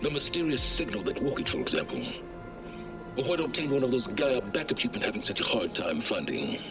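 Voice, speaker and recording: An actor playing an arrogant, scheming older male scholar in a video game, pompous and theatrical with deliberate emphasis. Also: medium pitch, sing-song, music in the background, close to an everyday voice. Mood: indignant. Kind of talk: video game cutscene acting.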